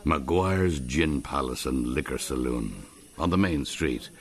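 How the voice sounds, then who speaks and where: A man's voice: extremely low pitch, expressive, measured pace. A man with an Irish accent narrating a radio drama in a wry, ornate storytelling manner.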